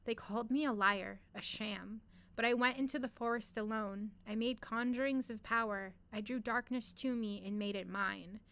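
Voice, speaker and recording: A woman's voice: a little high, steady tone, moderate pace, gravelly, a bit bright, smooth. A woman voicing a mad, boastful undead male sorcerer from a fantasy story, menacing and grandiose. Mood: bitter boasting.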